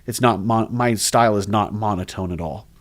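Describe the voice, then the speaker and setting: A man who narrates horror stories, chatting casually on a livestream, rambling and animated, with lots of restarts. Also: lowish voice, normal speed. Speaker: horror story narrator and streamer. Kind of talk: casual livestream chat reading comments.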